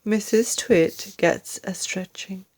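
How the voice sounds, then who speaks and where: Slow, deliberate woman reading a story. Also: medium pitch, a little mellow. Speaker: storybook reader, English teacher. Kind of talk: scripted children's story narration.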